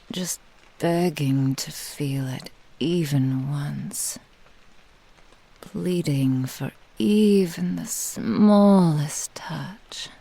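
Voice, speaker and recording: A woman voicing a vain, possessive kitsune witch in an intimate ASMR roleplay, speaking in a breathy, lingering whisper. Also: low-pitched, warm tone, heavily acted, low-quality recording. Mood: haughty, reminiscing. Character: vain possessive seductive female nine-tailed kitsune witch. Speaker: roleplay audio voice actor.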